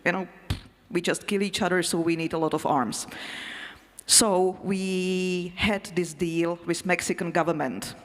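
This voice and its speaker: A woman, an English speaker, talking casually and haltingly as a panel speaker in a matter-of-fact way. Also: lowish voice, steady tone. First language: not English. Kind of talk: role-played presentation as a crime boss to a live audience. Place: festival hall. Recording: echoey hall sound.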